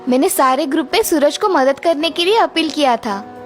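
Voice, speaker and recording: A woman acting a high-voiced, kindly young daughter-in-law. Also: expressive, moderate pace, faint music behind. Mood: pleased, assured. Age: young adult. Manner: reassuring, polite. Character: kind, capable young working daughter-in-law. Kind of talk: children's cartoon story voice acting.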